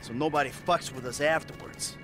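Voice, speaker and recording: Actor as a cynical, dry middle-aged criminal. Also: male voice, fairly high pitch, fairly even intonation, fairly quick, natural voice. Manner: dry, matter-of-fact. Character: cynical middle-aged male career criminal, calm leader. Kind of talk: video game cutscene acting. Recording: music behind the voice.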